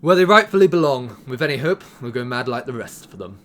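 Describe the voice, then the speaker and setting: A man's voice: medium pitch, lively intonation, fast, background noise, natural voice. A man playing a haughty, dismissive army officer in a First World War audio drama. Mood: scornful. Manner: pompous, theatrical. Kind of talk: period audio drama acting.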